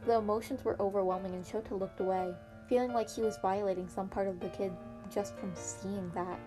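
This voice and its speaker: Female amateur narrator for a story podcast, mid-pitched reading with faint piano music underneath. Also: steady tone, fairly quick. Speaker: fan fiction narrator.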